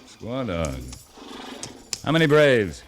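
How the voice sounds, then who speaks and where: A man's voice: medium pitch, big pitch swings, takes it slowly. A man playing a seasoned, commanding cavalry captain in a 1950s radio western, speaking in a clipped, dryly understated, authoritative way. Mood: stern, businesslike. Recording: noisy vintage 1950s radio recording.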